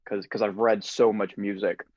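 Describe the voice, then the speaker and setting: A man hosting a casual podcast conversation, telling relaxed stories with frequent laughs. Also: lowish voice, normal speed, no echo, lo-fi sound. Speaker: podcast host.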